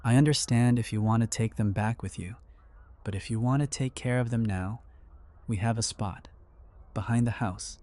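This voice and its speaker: A man's voice: medium pitch, fairly even intonation, moderate pace, mellow, natural voice. A man acting a firm but compassionate survivor in an audio drama. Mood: gentle, solemn. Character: firm, compassionate male survivor standing his ground.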